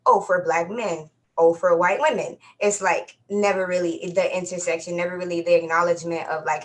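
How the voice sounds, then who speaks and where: A woman talks loosely and informally on a panel, filling her speech with "like" and "you know what I mean." Her voice sits low and stays flat. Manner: casual, slangy, many "like" fillers. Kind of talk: casual panel talk.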